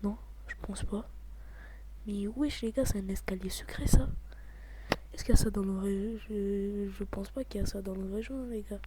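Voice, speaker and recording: A man giving casual gameplay commentary, talking in a hushed, half-whispered way, excitable and slangy, repeating his exclamations. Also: high voice, moderate pace, background noise. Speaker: gaming commentator.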